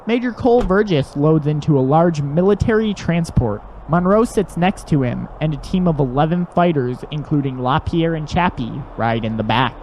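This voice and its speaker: A man serves as narrator of an audio drama. His voice is a little higher than most men's, reading scripted scenes with faint ambient noise behind. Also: lively intonation, normal speed, few pauses, darkish tone, low-quality recording.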